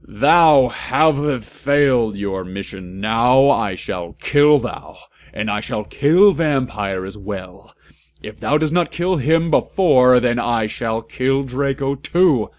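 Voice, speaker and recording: A man voicing an evil dark wizard with a raspy voice, making theatrical, drawn-out threats in a comic story reading. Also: medium pitch, animated. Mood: menacing. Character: evil male dark wizard, menacing, archaic, cackling villain.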